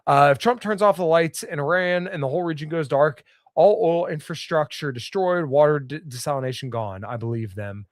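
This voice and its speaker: A slightly hoarse man hosting a solo political podcast, reading news aloud and bluntly giving his own opinions. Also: on the high side, brisk, no echo, studio quality. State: slightly hoarse, getting over illness. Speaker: podcast host. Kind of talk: solo political commentary livestream.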